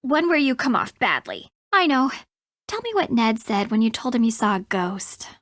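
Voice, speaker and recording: A woman's voice: fairly high pitch, very animated, moderate pace, fairly bright tone, no room sound, clean studio sound. A young woman with an American accent playing a snarky, teasing frenemy in a video game, blunt and sardonic. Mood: exasperated.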